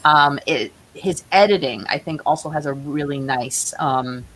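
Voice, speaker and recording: Low-voiced woman over audible hiss. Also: unhurried, fairly bright tone, low-quality recording. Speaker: guest, playwright. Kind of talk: casual interview chat about own projects. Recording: clear background hiss.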